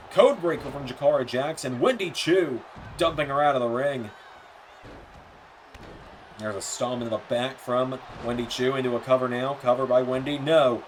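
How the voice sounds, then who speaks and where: A man doing play-by-play commentary for a wrestling video game, his voice a bit hoarse and softer than usual, with slips and self-corrections. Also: mid-range voice, slight background noise. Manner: rapid play-by-play, rising excitement on near-falls.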